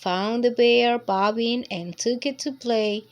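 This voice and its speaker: A woman's voice: on the high side, steady tone, takes it slowly. A woman reading a picture book aloud as a storytime narrator for young children.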